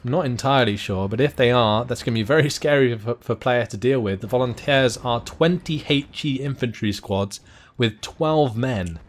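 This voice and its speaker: Hoarse, excitable male game caster. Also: medium pitch. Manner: excitable, quick bursts and frequent laughter at surprising plays. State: hoarse, losing his voice. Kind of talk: live play-by-play commentary of a strategy game match.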